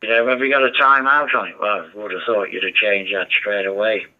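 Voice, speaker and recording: A man's voice: lowish voice, fairly even intonation, fairly quick. A man chatting over a ham radio, rambling and giving advice. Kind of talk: casual radio chat. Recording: dull muffled radio audio.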